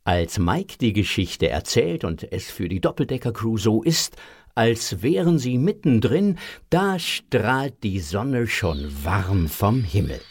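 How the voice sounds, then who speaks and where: A man narrating a children's audio adventure in an expressive, husky storytelling voice. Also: fairly low pitch, slow pace, dry recording, studio quality, exaggerated voice.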